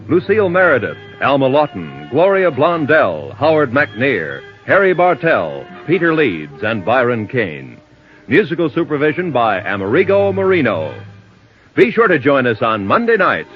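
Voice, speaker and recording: Casting: formal vintage radio announcer man, mid-pitched, dull lo-fi sound. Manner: polished, formal announcer delivery. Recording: muffled 1950s radio transcription with faint music.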